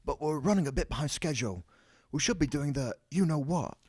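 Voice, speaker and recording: A man's voice: a little high, expressive, a little fast, a little mellow, husky, dry recording, studio quality, voice pushed up. Man as a piping, eager teenage boy. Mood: hesitant. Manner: piping, hesitant.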